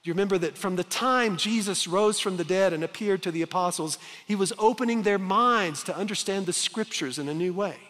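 A man in his fifties preaches from a large church stage on a headset mic, his voice sitting a little high for a man. He is earnest and emphatic, slowing down for dramatic pauses, and a long hall reverb trails behind each phrase. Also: a bit bright. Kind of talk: sermon.

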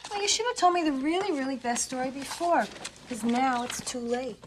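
A middle-aged woman acting in a film as a polite, matter-of-fact suburban lady who calmly plans to cook a boy. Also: high voice, fairly quick, lightly acted. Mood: politely condescending. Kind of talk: film acting, understated menace. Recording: film soundtrack with faint noise.

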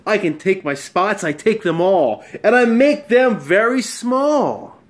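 A man reading as an eager, high-pitched storybook animal. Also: expressive. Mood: eager, boastful. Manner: pleading, boastful, slow storybook delivery. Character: eager spotted animal showing off magic tricks. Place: small bright room at home.

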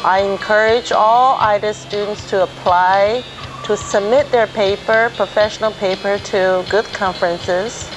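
A woman's voice: mid-range voice, steady tone, unhurried, rough recording. A woman on the program staff speaking in a friendly, encouraging way in an interview. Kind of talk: interview testimonial. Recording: music bed behind voice.

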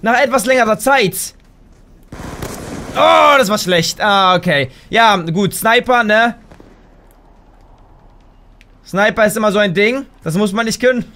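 High, bright, excitable young man gaming. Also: normal speed. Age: young adult. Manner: excitable, exclaims and shouts at the game. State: slightly hoarse, tired. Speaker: gaming YouTuber. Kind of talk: excited gameplay commentary. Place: home gaming room, indoors. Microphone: headset.

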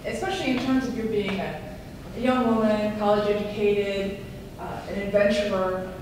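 A woman asks a rambling question from the audience, pausing often with fillers. She sounds far from the mic in a very echoey room. Clear background noise runs under her voice. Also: medium pitch. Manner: hesitant, many fillers, rambling. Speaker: audience member asking a question.

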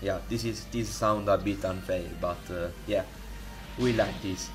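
A man with an Italian accent, speaking English as a second language, walks through a modding tutorial in a halting way, with many fillers and restarts, recorded on a desktop microphone. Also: low voice, steady tone, over music. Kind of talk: casual screen-recorded tutorial. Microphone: desktop USB microphone.

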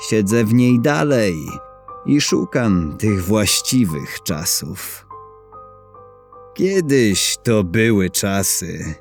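A man's voice: lowish voice, expressive, very slow, a little mellow, soft background music. A man narrating a scripted short story in the first person, as for an audiobook.

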